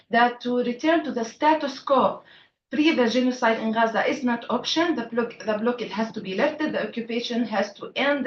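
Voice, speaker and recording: A woman's voice: fairly high pitch, slight background noise. A woman making an earnest, pointed intervention from the floor of a panel, with some hesitation. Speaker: audience speaker. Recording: echoey hall from far off, dull.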